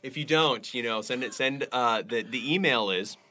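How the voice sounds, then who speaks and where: A man's voice: medium pitch, normal speed, sharp tone. A man co-hosting a podcast, reading listener reviews and bantering casually in a playful, teasing, mock-emphatic way. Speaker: podcast co-host.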